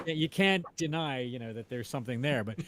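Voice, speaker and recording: A man co-hosting a casual chat, speaking in a loose, halting, informal way. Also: medium pitch, fairly bright tone, slight background noise. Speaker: co-host.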